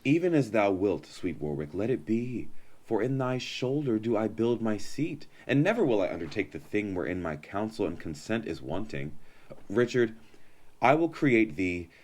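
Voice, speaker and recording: A man acts a victorious young king in Shakespearean radio drama. The voice is fairly low, measured and regal, giving orders with gracious authority. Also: sing-song. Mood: gracious command. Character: victorious young medieval king, magnanimous and commanding. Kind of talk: Shakespearean audio drama acting.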